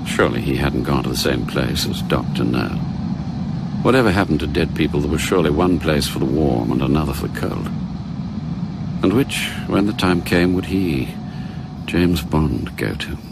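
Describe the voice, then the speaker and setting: An actor narrating a spy thriller radio drama as a grave, measured storyteller with an English accent. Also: male voice, rumbling low, gravelly, very noisy. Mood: sombre, reflective.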